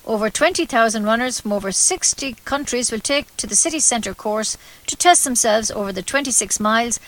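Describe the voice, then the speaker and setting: A woman's voice: fairly high pitch, fairly bright tone, low-quality recording. A woman with an Irish accent reading scripted local news on community radio, formal with the occasional stumble.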